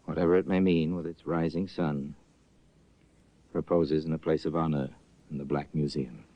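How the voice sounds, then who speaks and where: A man's voice: extremely low pitch, steady tone, normal speed. A man narrating a 1950s radio mystery in a solemn storytelling way. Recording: dull old radio recording with faint noise.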